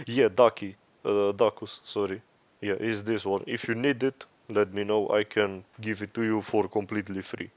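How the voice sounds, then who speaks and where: A man giving a casual, unscripted software tutorial in a rambling way, swearing and correcting himself as he goes. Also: fairly low pitch, no room sound, dull audio. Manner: rambling, profane, self-correcting. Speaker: tutorial presenter.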